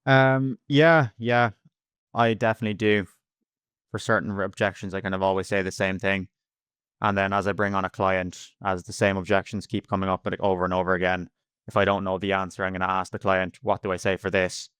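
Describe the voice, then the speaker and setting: A man's voice: low voice, little pitch movement, fairly quick, fairly bright tone, clear, resonant tone, dry recording, studio quality. An Irish man giving casual interview answers in a plain-spoken, slangy, blunt way. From Ireland. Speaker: podcast guest, freelance cold caller.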